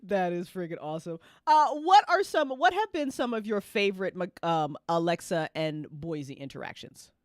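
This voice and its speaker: A woman's voice: on the low side, lively intonation, moderate pace, hardly any pauses, no echo, studio quality. A woman hosting a casual interview show, effusive, repeating her phrases and swearing casually. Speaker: host of a podcast after-show and audio drama editor. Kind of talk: casual chat, interviewing cast.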